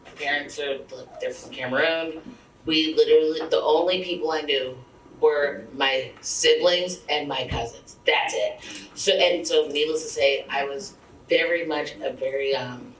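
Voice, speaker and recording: A woman's voice: deep voice, a little slow, slight background noise. A woman giving a self-deprecating, casual talk to an audience at a launch event. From Lowell, Massachusetts. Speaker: event speaker. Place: event hall. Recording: echoey hall from far off.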